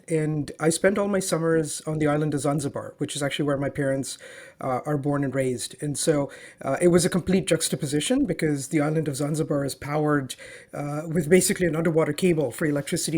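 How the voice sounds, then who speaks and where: Slightly high-voiced man from Dubai. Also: brisk. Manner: energetic, explanatory, frequent "um" and "you know". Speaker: guest, company co-founder. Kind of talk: remote interview answers. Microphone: calling in remotely.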